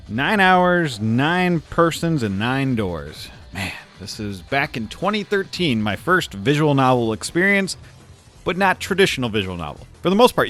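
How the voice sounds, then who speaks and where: Male video host, mid-pitched, informal and lightly joking game commentary, music underneath. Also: fairly bright tone. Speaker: video host reviewing games. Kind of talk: casual scripted video commentary. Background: background music.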